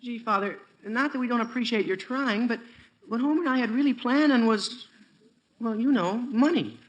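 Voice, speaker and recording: A man's voice: extremely high pitch, moderate pace, dull audio. An actor playing an earnest, cocksure American teenage boy with a youthful, cracking voice in a 1940s radio sitcom. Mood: sheepish, exasperated.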